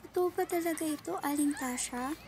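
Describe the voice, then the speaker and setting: High-voiced, curious village girl played by a woman. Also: brisk, a little mellow, slight background noise. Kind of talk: amateur radio drama acting.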